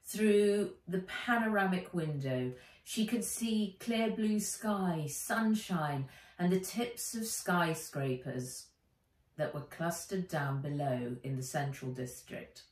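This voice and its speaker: A middle-aged woman reading a children's book aloud as its author, with measured, animated storytelling. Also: lowish voice. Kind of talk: expressive children's audiobook-style narration. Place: living room by a window. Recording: roomy, distant home camera sound.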